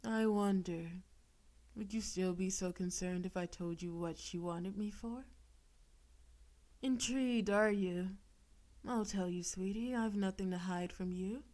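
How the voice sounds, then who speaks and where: A woman voicing a possessive, seductive female demon in an intimate audio roleplay, teasing and coaxing with theatrical menace, sometimes breathy. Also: mid-range voice, measured pace, a little mellow, natural voice. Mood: mocking, wicked. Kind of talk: intimate audio roleplay, scripted monologue to listener.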